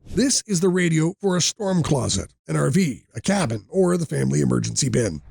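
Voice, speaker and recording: A man's voice: a little high, gravelly, no room sound, clean studio sound. A man presenting a scripted product review, speaking in short, direct, instructive sentences.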